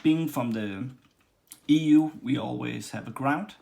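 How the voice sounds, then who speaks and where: A man from Denmark with a Danish accent, casually demonstrating and reviewing a leather machine, with many pauses and restarts. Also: mid-range voice, gravelly, darkish tone. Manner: halting, many ums and restarts. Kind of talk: casual workshop demo. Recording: faint background noise and clicks.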